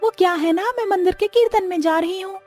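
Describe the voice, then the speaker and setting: A woman's voice: extremely high pitch, brisk, darkish tone, soft background music. A woman voicing a fussy elderly mother-in-law in a cartoon family story. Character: fussy old mother-in-law primping with earrings.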